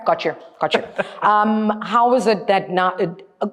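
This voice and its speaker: A woman's voice: medium pitch, measured pace, a bit bright. A woman hosting a casual interview, chatty and full of fillers. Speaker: interview host. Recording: long reverb.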